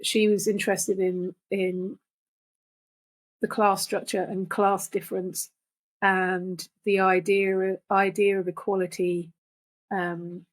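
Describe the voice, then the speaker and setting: A middle-aged or older woman talking casually and reflectively in halting phrases, as a guest reader. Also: medium pitch, flat delivery. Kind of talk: casual conversational discussion.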